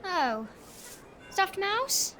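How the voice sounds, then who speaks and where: A woman's voice: extremely high pitch, big pitch swings, extremely slow, pushed and bright, slight background noise, exaggerated voice, poor audio. A woman playing a cheeky, impulsive young pickpocket in a comic audio drama, playful and theatrical. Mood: cheeky, hopeful. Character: Ruby, cheeky, impulsive young female pickpocket, barely literate.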